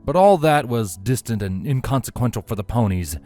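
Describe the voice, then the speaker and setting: A man's voice: mid-range voice, expressive, moderate pace, darkish tone. A man reading a scripted story as an audiobook narrator. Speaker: audiobook narrator of fan fiction. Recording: music bed under the voice.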